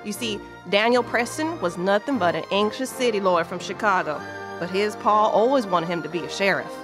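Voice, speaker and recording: A woman's voice: medium pitch, brisk, over music, natural voice. A woman playing a folksy, dramatic Old West narrator in an audio play. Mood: folksy, wry.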